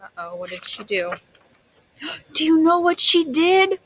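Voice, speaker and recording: A woman's voice: high-pitched, sing-song, unhurried, fairly bright tone, dry recording. A woman teacher reading a picture book aloud to young children, expressively, stretching words and putting questions to her listeners.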